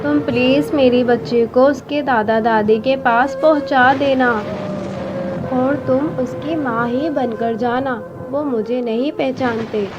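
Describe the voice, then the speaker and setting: A woman's voice: high-pitched, steady tone, takes it slowly, music in the background. A woman voicing a dying young mother bird who pleads weakly and desperately, on dull lo-fi audio. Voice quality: weak, pleading.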